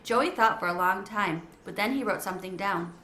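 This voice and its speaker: Bright-voiced woman reading a storybook aloud. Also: medium pitch, moderate pace. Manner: expressive, warm storybook reading. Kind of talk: reading a children's book aloud. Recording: echoey room.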